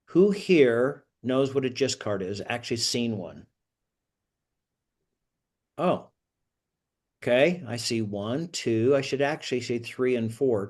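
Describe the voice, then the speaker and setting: An older man hosts an informal online group session in a mid-pitched voice. He rambles from point to point and often asks the listeners rhetorical questions. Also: darkish tone. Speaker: host of an online career coaching group meeting. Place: online video call.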